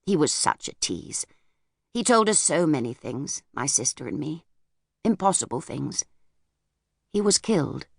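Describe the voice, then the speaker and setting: A reserved older lady from a children's audiobook, as a woman narrator plays her. Her low, slightly gravelly voice is gentle and hesitant, drifting wistfully through memory in a dry, close recording. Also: big pitch swings, measured pace, pauses often, no echo, full character voice. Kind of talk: audiobook character voice.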